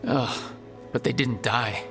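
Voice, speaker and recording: A man's voice: mid-range voice, slow, gravelly, a bit bright. An actor playing a direct, wry young male hero in a video game, in a conversational manner. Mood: curious, concerned. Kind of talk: naturalistic video game acting. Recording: music behind the voice.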